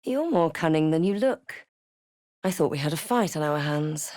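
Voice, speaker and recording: A woman playing a wry, wary halfling adventurer in a fantasy video game, with a measured, dramatic delivery. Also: low-pitched, lively intonation, a little mellow, dry recording, studio quality. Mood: wry, watchful. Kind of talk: scripted video game voice lines and narration.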